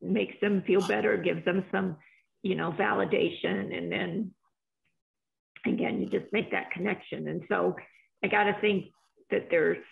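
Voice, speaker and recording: A woman's voice: mid-range voice, steady tone, measured pace, gravelly. A woman making casual, meandering remarks at a club meeting over a video call. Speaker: club member. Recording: tinny phone-like line.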